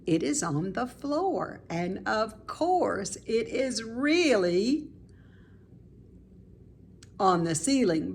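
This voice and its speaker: A woman reading a children's story aloud, with expressive, deliberate phrasing for young listeners. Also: medium pitch. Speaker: storybook reader, teacher.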